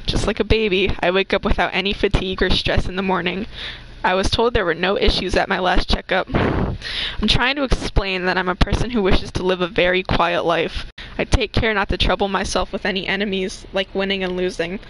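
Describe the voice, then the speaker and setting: A mid-pitched voice chats and recites informally, with slangy, teasing phrasing. The delivery is that of an amateur among friends. The home recording is clearly noisy. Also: fairly even intonation, fairly quick. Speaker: co-host joining a fan fiction reading. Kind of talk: casual joking chat and amateur recitation.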